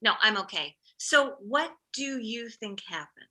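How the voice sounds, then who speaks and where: Actress as a bright, sharp-voiced anxious mother. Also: a little high, animated, unhurried, heavily acted. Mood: anxious, prying. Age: middle-aged. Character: anxious, overprotective, combative mother of a teenage boy. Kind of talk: comedy script table read.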